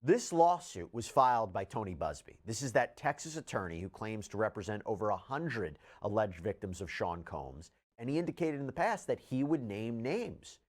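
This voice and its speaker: A man presenting news and reading out statements with measured, deliberate emphasis. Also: fairly low pitch, big pitch swings. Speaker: news commentator.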